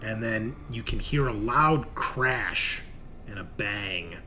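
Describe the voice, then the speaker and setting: A man narrating a tabletop game as game master, descriptive and improvised, pausing as he picks his words. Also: fairly low pitch, animated, sharp tone. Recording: clear background noise.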